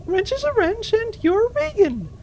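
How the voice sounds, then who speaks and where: A woman's voice: extremely high pitch, very animated, moderate pace, mellow, exaggerated voice, low-quality recording. A woman playing a bored, blunt, sardonic nineteen-year-old starship pilot. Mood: dismissive, teasing.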